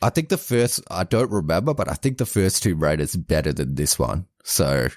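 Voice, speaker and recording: Very deep-voiced man chatting casually. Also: lively intonation, normal speed, no room sound, clean studio sound. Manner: informal, rambling, frequent "like". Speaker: podcast co-host. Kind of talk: casual movie review chat.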